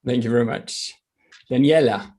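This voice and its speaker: A man's voice: medium pitch, moderate pace, mellow. Upbeat man moderating an online workshop. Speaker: workshop facilitator.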